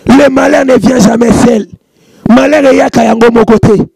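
A preaching man with a strikingly high, gravelly and husky voice holds a handheld mic in a dry studio. He chants exclamations and mixes French with Lingala as he delivers messages. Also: steady tone, moderate pace, a little mellow, no echo. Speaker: prophet and show host. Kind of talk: preaching and reading out prayer messages. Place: studio with warm lamp backdrop. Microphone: handheld microphone.

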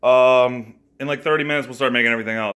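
A young man in his twenties filming a comedic cooking video in his home kitchen on a phone held at arm's length, cracking crude deadpan jokes and swearing freely. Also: medium pitch, fast, clean tone. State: hungover.

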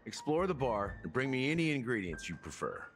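An actor playing a laid-back middle-aged bartender in a game, speaking in a measured, casual, faintly theatrical way. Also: male voice, mid-range voice, sing-song. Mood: easygoing. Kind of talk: English game voice acting. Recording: clean game dialogue over background music.

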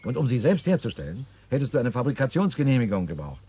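A man's voice: medium pitch, quick pace, husky. A man playing a shrewd lawyer in a 1970s radio play. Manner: lively, reasoning aloud.

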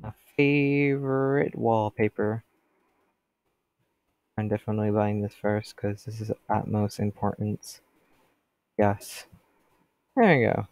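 A man's voice: lowish voice, steady tone, slow pace, long pauses, darkish tone, dry recording. Stuffy-nosed teen boy chatting over a game. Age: teens. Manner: chatty, rambling, talks to customers. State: stuffy from a cold. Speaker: gameplay commentator. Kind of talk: casual gameplay commentary.

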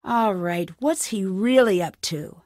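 Casting: actress for plucky young female sleuth, video game lead, mid-range voice, quick-witted self-talk, very dry studio sound. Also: medium pitch, a little mellow, dry recording, natural voice. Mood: focused, musing. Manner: clearly enunciated, thinking aloud. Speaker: game protagonist.

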